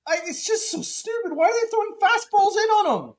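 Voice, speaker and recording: A man's voice: very high-pitched, fairly quick. A man around forty co-hosting a sports podcast livestream, exasperated and swearing for effect. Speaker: podcast co-host. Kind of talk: casual livestream banter.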